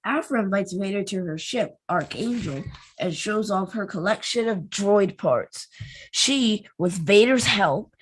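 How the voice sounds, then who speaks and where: A woman's voice: mid-range voice, slightly rough, low-quality recording. A woman co-hosting a podcast, reading a story recap evenly and adding playful asides. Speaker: podcast co-host. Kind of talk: casual podcast chat and read recap.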